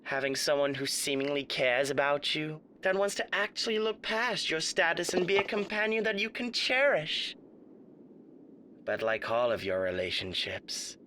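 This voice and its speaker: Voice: medium pitch, fairly bright tone, a little hiss or hum. An actor playing a smug, sadistic male radio demon in an ASMR roleplay, theatrical, mock-polite and taunting.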